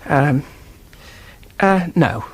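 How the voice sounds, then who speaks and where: A husky, defensive office clerk. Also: male voice, fairly high pitch, expressive, very slow, heavily acted. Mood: flustered, defensive. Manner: hesitant, stammering, blurting. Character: flustered, defensive, earnest male office clerk with a temper. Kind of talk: radio drama acting, detective mystery.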